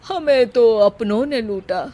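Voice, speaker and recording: Woman playing a theatrical rhyming lazy husband, high-pitched. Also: unhurried, heavy background noise, lightly acted. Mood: theatrical, wounded. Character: lazy young husband spouting silly rhyming couplets, self-pitying. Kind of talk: comic animated Hindi moral story.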